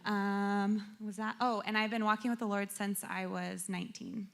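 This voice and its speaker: A woman's voice: mid-range voice, flat delivery, moderate pace, creaky. A woman in her thirties speaking as a panel guest, playful and rambling, with plenty of fillers. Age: 30s. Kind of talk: casual self-introduction and answer.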